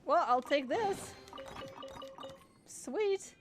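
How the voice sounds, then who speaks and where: A high-voiced woman commentates on a game live as she streams. She is informal, playful and reactive, with music playing behind her. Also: expressive, extremely slow. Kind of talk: live gameplay commentary, casual chat.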